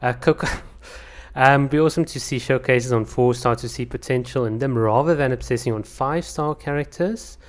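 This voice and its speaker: A man's voice: medium pitch, normal speed. Casting note: male channel host, non-native English speaker, easygoing and chatty, answers viewer comments with frequent restarts. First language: not English. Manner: chatty, many fillers and restarts. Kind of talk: casual comment-reading chat.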